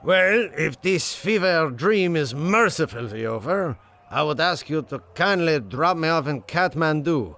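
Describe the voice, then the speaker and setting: Man playing a weary, gravelly, slow-talking adventurer. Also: fairly high pitch, lively intonation, bright, forceful tone, full character voice. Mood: weary, sardonic. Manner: dry, grudging. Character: weary, sardonic, hard-drinking adventurer man.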